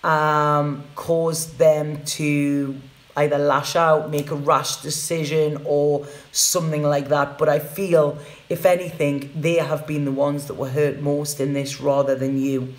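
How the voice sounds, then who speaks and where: A woman's voice: sits low, level tone, slow pace. A woman reads tarot cards live for her viewers in a loose, chatty way. She repeats words and keeps checking in with "okay?". Her voice rings in a roomy, echoey space. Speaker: tarot reader. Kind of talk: live tarot reading, casual chat to viewers.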